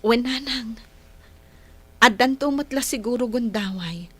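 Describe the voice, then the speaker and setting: A plaintive schoolgirl in a radio drama. Her voice is fairly high, and she speaks with earnest, patient hurt. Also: measured pace, husky, no echo.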